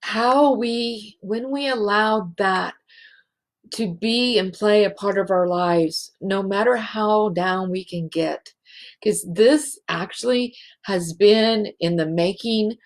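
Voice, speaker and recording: A woman hosting a spiritual show, speaking in a halting, emotional way and close to tears. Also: medium pitch. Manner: slow, halting and emotional, many restarts. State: teary. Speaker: show host, healing facilitator. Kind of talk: heartfelt spiritual show monologue.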